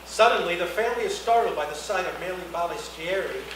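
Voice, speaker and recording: High-pitched man narrating a screenplay. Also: fast. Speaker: narrator of stage directions. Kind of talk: reading screenplay action lines aloud. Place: indoor reading before an audience, far mic. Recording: very echoey room, distant mic, noisy.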